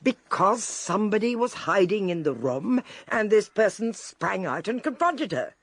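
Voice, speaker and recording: An actress playing a shrewd, eccentric older British lady detective in a radio drama, theatrical, deliberate and drily amused. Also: medium pitch, sing-song, dry recording. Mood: shrewd, explaining.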